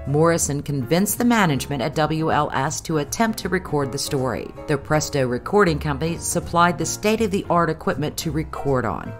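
A woman's voice: low voice, lively intonation, normal speed, hardly any pauses, faint music behind. A woman with an American accent reading scripted documentary narration.

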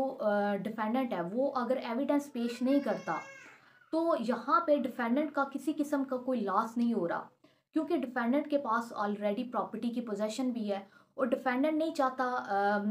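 Law lecturer: young woman, higher-pitched voice, didactic and methodical, restates each point. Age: young adult. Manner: didactic, repeats points step by step. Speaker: advocate teaching law. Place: small indoor home study.

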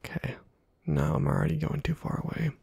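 A man giving casual, playful ASMR game commentary in a hushed, breathy half-whisper, muttering to himself close to the microphone. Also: fairly high pitch, little pitch movement, fairly quick, no echo. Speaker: gaming video host.

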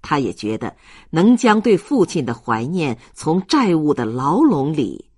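Slow, expressive audiobook narrator. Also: medium pitch, breathy edge, no echo, clean studio sound. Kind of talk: scripted novel narration, storytelling.

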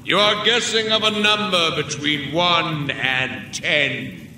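A man's voice: on the high side, slow, pushed and bright, exaggerated voice. A man playing a grandiose carnival fortune-telling machine in a video game, pompous and declamatory like a mystic showman. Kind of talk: video game character acting. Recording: roomy echo, faint noise.

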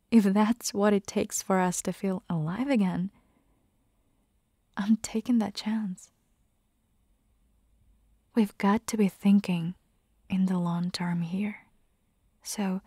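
A woman voicing a caring, gently bossy vampire hunter girlfriend in a soft, breathy, half-whispered ASMR roleplay, close to the microphone. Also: mid-range voice, no echo.

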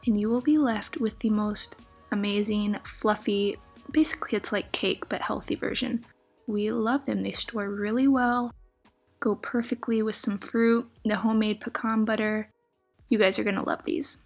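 Cooking host voice-over: young woman, breathy, a bit high, upbeat and chatty, faint music under it. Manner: upbeat, chatty, enthusiastic about food. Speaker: plant-based cooking channel host. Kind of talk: scripted recipe voice-over. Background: soft background music.

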